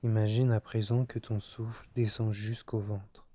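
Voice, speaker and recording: Very dark, slow-voiced man guiding meditation. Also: fairly low pitch, little pitch movement, highs cut off. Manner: soft, soothing, unhurried instruction with long pauses. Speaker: meditation guide. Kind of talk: guided yoga nidra relaxation.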